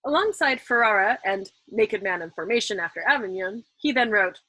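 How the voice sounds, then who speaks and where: A woman reading a novel aloud in an expressive, dramatic audiobook style, as the host of a storytime. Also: medium pitch, normal speed, no echo, rough recording.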